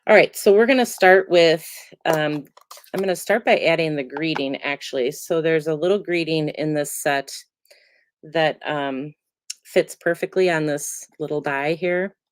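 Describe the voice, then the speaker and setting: A middle-aged woman demonstrating card making, chatty and relaxed with many ums, still a little congested from a cold. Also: sits low, unhurried. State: congested, getting over a cold. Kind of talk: casual crafting tutorial.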